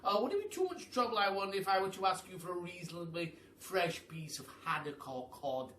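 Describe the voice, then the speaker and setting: Man putting on a nervous, high-pitched pelican. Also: expressive, bright, forceful tone, roomy sound, recorded from a distance, a little hiss or hum. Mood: nervous, apologetic. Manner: hesitant, halting and wordy. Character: nervous, polite male pelican, excitable and apologetic.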